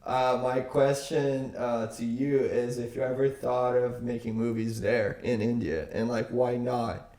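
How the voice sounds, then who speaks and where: A young man from Brazil, co-hosting a podcast and asking questions in a measured way with fillers. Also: mid-range voice, fairly even intonation, darkish tone, echoey room. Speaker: podcast co-host. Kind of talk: conversational podcast interview.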